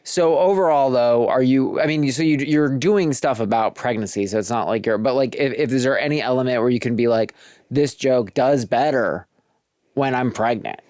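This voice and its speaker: A woman's voice: very deep voice, moderate pace, warm tone, no echo, studio quality. A woman hosting a podcast who asks loose, rambling questions and laughs along with her guest. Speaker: podcast host. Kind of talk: casual interview chat.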